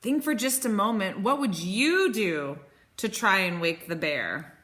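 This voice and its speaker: A woman in her thirties reading a picture book aloud as a teacher, expressive and sing-song, explaining simply for children. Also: medium pitch, a little slow. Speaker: teacher reading to young pupils.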